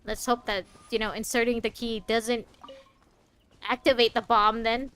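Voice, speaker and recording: A woman's voice: on the high side, flat delivery, normal speed, a bit bright, clean tone. A woman giving casual commentary as she plays a video game, with wry, playful asides. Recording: game music behind the voice.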